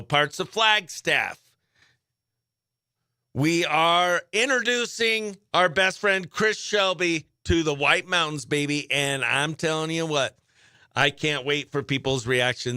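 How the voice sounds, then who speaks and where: A man with a high, bright, smooth voice hosts country morning radio. He works like a showy announcer, pumping up each introduction and bantering playfully with listeners. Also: no echo, studio quality. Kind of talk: excited live radio presenting and chat.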